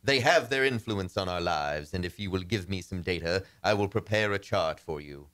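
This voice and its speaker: A man's voice: low voice, lively intonation, moderate pace, fairly bright tone. A man acting a formal, patronizing astrologer in an old-time radio comedy, courteous but easily exasperated.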